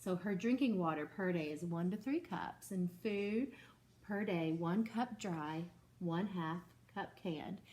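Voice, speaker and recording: A woman's voice: lowish voice, unhurried, darkish tone. A woman teacher reading a picture book aloud to her second-grade class and stopping to explain it patiently.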